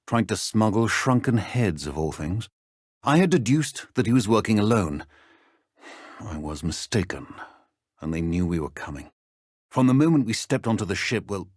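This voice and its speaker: An actor playing a stern, middle-aged wizard professor in a video game, speaking formal British English in a measured, dry manner. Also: male voice, sits low, dry recording. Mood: grave, regretful. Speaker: professor character in a fantasy game. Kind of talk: scripted video game character dialogue.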